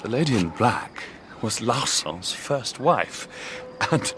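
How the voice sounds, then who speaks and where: An actor playing an earnest, troubled detective burdened by a secret in a radio drama, intense and hesitant. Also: male voice, medium pitch, sing-song, slow pace, a bit bright, a little hiss or hum. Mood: grave, wistful.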